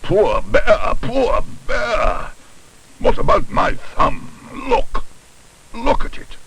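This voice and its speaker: A man's voice: lowish voice, animated, measured pace, recorded from a distance, a little hiss or hum, rough recording. A man as a fussy, grudging storybook dentist. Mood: pained, indignant. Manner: hesitant hums and throat-clearing. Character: fussy, long-suffering male dentist, grudging and professional. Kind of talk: children's storybook character voice.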